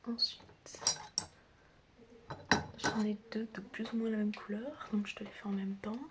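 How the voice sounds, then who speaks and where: A woman's voice: medium pitch, level tone, measured pace. Whispering woman, teasing ASMR, noisy background. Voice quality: breathy whisper mixed with soft speech. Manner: teasing, chatty, familiar, addressing the listener directly. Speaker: ASMR creator role-playing as a doctor and best friend. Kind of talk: whispered ASMR roleplay, close personal attention. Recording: clear background noise with clicking sounds.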